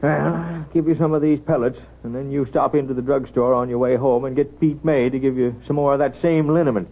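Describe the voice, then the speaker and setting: An actor playing a wry, folksy small-town doctor in an old radio drama, with an American accent and a drawling, gently scolding manner. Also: male voice, mid-range voice, fairly even intonation, fairly quick, natural voice. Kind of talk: 1930s radio drama acting. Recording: muffled, scratchy 1930s radio broadcast.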